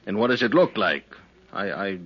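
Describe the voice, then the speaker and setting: An actor playing a weary, wistful drifter in a 1950s radio western, with a husky voice and folksy, halting, rough-hewn speech. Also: male voice, lowish voice, lively intonation. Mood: wistful, curious. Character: weary, wistful male drifter of the old West. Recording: muffled 1950s radio recording.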